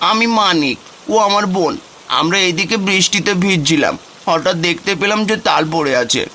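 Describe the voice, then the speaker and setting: A man voicing a sensible, gentle village boy, an older brother in a cartoon fairy tale. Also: sits high, normal speed. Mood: earnest. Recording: rain noise behind.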